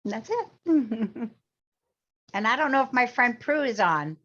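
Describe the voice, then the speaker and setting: A woman's voice: fairly high pitch, expressive, slow, a bit bright, lo-fi sound. A woman from Maine introducing herself on a group video call, friendly and giggly. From Maine, USA. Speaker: participant.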